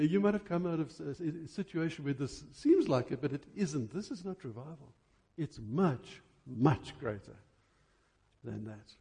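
Husky, mellow man preaching a sermon. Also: mid-range voice. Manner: emphatic, dramatic delivery with deliberate repetition for effect. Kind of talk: church sermon.

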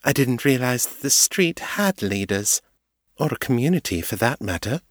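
A man's voice: mid-range voice, expressive, normal speed, dry recording, clean studio sound, pitched above the performer's own voice, close to an everyday voice. A man voicing a haughty, aristocratic female vampire who speaks in a polished, condescending way. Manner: polished, formal, patronizing.

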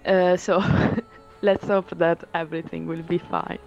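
Woman, Italian accent, podcast guest, halting speech, laughs a lot, muffled lo-fi sound. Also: on the low side, level tone, soft background music. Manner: hesitant, many "uh"s, laughs often. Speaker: guest musician. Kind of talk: casual podcast interview. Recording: dull lo-fi remote audio.

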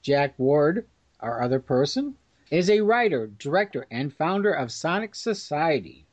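A man's voice: a little high, very animated, unhurried. A man hosting a roundtable podcast in a formal, courteous manner. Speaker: podcast host. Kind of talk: moderated roundtable discussion. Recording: slightly dull, lo-fi audio.

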